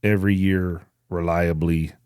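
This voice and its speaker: A man's voice: sits low, steady tone, unhurried, a little mellow, smooth, no room sound, studio quality. A man co-hosting a podcast in casual chat, with a blunt, profane way of talking. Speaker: podcast co-host. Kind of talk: casual podcast chat about hunting and events.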